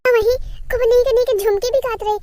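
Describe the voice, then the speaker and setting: A woman voicing an excitable, chatty village friend with an Awadhi accent, making eager singsong excuses in a comedy skit. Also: very high voice, brisk, slight background noise. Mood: apologetic. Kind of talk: rustic comedy skit acting.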